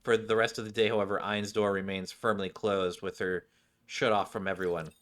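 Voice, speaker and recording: A man's voice: on the low side, level tone, brisk, a bit bright. A man streaming a game, narrating story scenes and muttering his own casual asides about what he sees. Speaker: game streamer reading out cutscene dialogue. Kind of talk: game commentary and expressive dialogue reading.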